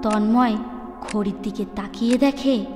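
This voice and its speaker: A woman storyteller narrating a ghost story dramatically, with deliberate, suspenseful phrasing and pauses. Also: medium pitch, fairly even intonation. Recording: echoey long reverb, music underneath.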